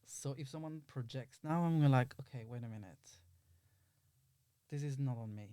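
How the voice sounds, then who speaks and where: Mellow man chatting candidly, close dry sound. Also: mid-range voice, normal speed, clean tone, dry recording. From Belgium. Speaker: podcast guest, filmmaker. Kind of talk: casual interview chat.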